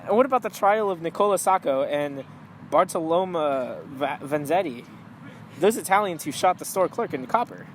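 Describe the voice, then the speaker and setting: Man playing an opinionated, slightly high-voiced 1920s author. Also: animated, moderate pace, lightly acted. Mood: indignant. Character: opinionated, alarmist 1920s male author on radio. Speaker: talk show guest, author. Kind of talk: 1920s radio interview acting. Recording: noisy amateur recording.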